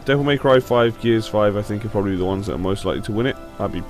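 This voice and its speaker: Male gaming host, lowish voice, a bit croaky with a sore throat, informal and blunt, soft music behind. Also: rapid-fire. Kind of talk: casual opinion commentary on video games.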